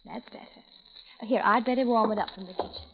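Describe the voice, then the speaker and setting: A woman's voice: a little high, fast talker, gravelly, a little hiss or hum. An actress playing a cruel, scheming woman who mocks her blinded friend, in 1940s radio acting. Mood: sweetly menacing. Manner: mocking, sugary, cruel. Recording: lo-fi old radio recording.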